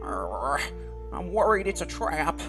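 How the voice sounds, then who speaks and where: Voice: medium pitch, big pitch swings, slow pace, over music. A performer voicing a worried, protective male dog who is reluctant, wary and earnest.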